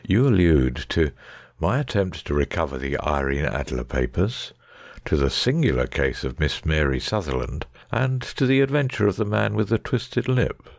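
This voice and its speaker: A man playing a keen, analytical gentleman detective in a dramatic audiobook reading, precise, discursive and wry. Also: very deep voice, sing-song, normal speed, vocal fry, no echo, studio quality, exaggerated voice. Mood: explaining.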